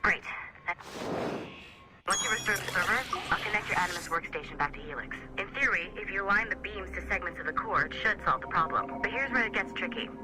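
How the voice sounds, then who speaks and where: High-pitched chatty male tech guy played by an actor. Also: fairly quick, loud music behind the voice, close to an everyday voice, poor audio. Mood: instructive, encouraging. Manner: hesitant, informal, wry. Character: nervy, chatty male office IT tech, snoopy and sheepish. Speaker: IT technician coworker. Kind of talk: game dialogue, casual guidance.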